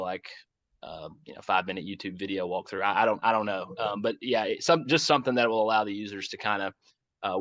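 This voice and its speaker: An American man answering questions informally on a conference call as a project lead, circling back to rephrase in a halting way. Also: fairly low pitch, a bit bright, no room sound. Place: conference call, dry close sound. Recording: muffled, dull conference call audio.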